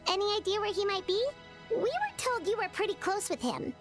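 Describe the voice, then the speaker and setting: A woman voicing a tiny, chirpy female companion creature in a game, with a very high squeaky voice and a nosy manner. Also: lively intonation, a little fast. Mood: curious. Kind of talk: game voice acting. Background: game music.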